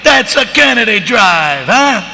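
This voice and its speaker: Casting: male actor as streetwise cartoon New Yorker, cocky, animated, piercingly bright, recorded in a big echoing hall. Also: sits high, measured pace, creaky, pitched above the performer's own voice, heavily acted. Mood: breezy, shifty. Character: cocky, streetwise cartoon wise guy playing a teenage boy. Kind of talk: live comedic script reading. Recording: echoey convention hall, background noise.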